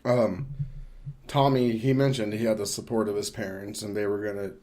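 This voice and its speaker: A man co-hosting a podcast, giving his opinions casually in a measured, matter-of-fact way. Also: on the low side, level tone, darkish tone. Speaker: podcast co-host.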